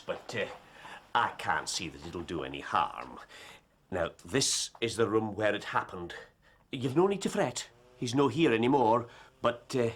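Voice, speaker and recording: A man's voice: lowish voice, a little hiss or hum. An actor playing a kindly, talkative working-class policeman in a detective radio play, with a folksy manner. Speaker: actor in an audio drama.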